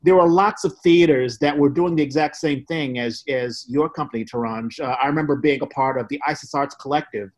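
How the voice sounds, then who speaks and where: Medium-pitched man hosting a conversation. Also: fairly quick, few pauses. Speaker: podcast host. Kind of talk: casual interview chat.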